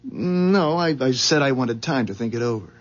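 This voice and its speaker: A man's voice: medium pitch, expressive, moderate pace, faint music behind, lightly acted. An actor playing an earnest, uneasy company employee in a 1970s radio drama, hesitant and stammering as he talks. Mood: hesitant, protesting. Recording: dull vintage 1970s radio recording.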